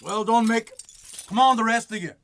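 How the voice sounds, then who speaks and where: An actor playing a cold, officious eviction bailiff who speaks in formal legalese in a radio play. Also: male voice, very high-pitched, normal speed, fairly bright tone. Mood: commanding.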